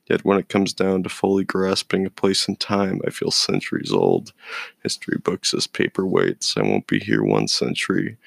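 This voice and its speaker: A man reciting spoken-word poetry as a poet, in a brooding, measured, dramatic delivery. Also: sits low, creaky, no room sound.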